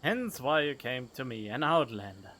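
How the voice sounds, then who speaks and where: A man's voice: mid-range voice, sing-song, moderate pace, bright, forceful tone. A man streaming a video game, chatting casually and reading dialogue choices aloud. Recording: game music behind the voice.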